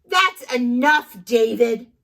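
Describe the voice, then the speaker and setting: A woman voicing a stern mother who scolds her little boy with loud, firm commands. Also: on the high side, animated, slow, heavily acted. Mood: scolding.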